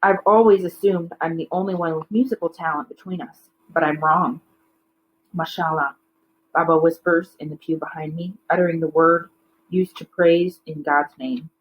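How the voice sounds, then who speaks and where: A woman's voice: low voice, fairly even intonation, normal speed, phone-quality sound. Woman reading a children's novel aloud. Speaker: teacher reading a book aloud.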